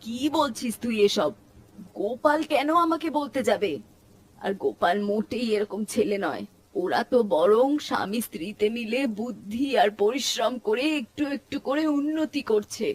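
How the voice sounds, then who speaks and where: A woman's voice: on the high side, expressive, normal speed, no echo. A woman playing a worried middle-aged mother in a cartoon, reproaching and pleading with her grown son. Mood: reproachful. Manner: nagging, pleading. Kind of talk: Bengali moral-story cartoon voice acting. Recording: plucked string music behind.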